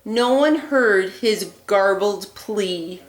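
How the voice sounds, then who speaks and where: A woman teacher reading a children's picture book aloud, phrasing deliberately and expressively with emphasis on the rhymes. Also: mid-range voice, background noise. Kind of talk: read-aloud storytelling for young children. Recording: echoey room.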